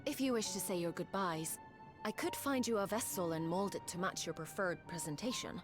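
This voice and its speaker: A woman's voice: lowish voice, fairly quick, close to an everyday voice. An actress playing a poised, teasing and ominous otherworldly woman in a video game, with formal, archaic phrasing. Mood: composed, formal. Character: poised, teasing, ominous woman who travels between worlds. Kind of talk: video game cutscene acting. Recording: orchestral game music behind voice. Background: music.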